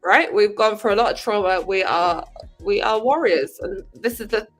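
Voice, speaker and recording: A woman from Sudan talking as a panel guest on a loose livestream chat. She's animated and swears casually, her voice sitting in a middle pitch, with a little music playing faintly behind. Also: normal speed. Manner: animated, talks over others, swears casually.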